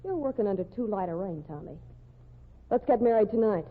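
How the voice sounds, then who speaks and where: A woman's voice: mid-range voice, animated, a little fast, husky. Jealous tough woman, hard-edged slang, tinny 1940s radio sound. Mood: menacing. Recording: tinny old radio broadcast.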